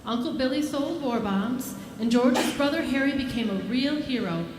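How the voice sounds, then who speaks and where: An actress playing a knowing, matter-of-fact heavenly superior who narrates in a live 1940s-style radio play. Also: female voice, high-pitched, moderate pace, noisy background, lightly acted. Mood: storytelling. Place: live stage performance. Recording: roomy stage sound. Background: music.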